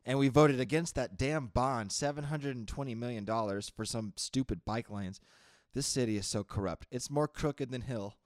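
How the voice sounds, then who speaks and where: A man's voice: medium pitch, moderate pace, no room sound, clean studio sound. A man from Texas with a Texan accent, co-hosting a podcast in boisterous, opinionated casual banter, laughing often. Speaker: podcast co-host.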